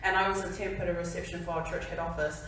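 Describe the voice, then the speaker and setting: A young woman from New Zealand shares her story before a crowd, chatty and quick to laugh at herself. Her voice bounces around a big echoey hall and sounds as if it was picked up from well back. A faint hum of room noise sits underneath. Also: fairly low pitch, flat delivery, a bit bright, recorded from a distance. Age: 20s. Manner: chatty, laughing, self-deprecating. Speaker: speaker at a company event. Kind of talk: personal story speech to an audience.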